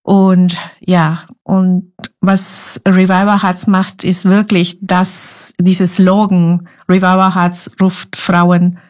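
A woman's voice: lowish voice, completely flat delivery, slow pace, a little mellow. Woman, earnest and searching. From Guatemala. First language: Spanish. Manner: earnest, searching for German words. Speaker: ministry guest. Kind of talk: podcast interview.

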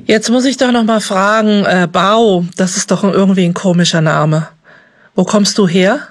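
A woman's voice: medium pitch, normal speed, no room sound, studio quality. Friendly woman interviewer. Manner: friendly, encouraging questions. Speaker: podcast interviewer.

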